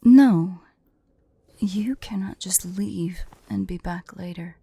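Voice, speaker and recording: Woman voicing a slow, haughty, teasing dragoness. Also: mid-range voice, warm tone, no room sound, full character voice. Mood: imperious, irritated. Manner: slow, imperious, playful, breathy near-whispers at times. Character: haughty, teasing female dragon, vain and secretly fond. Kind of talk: intimate ASMR roleplay and storytelling.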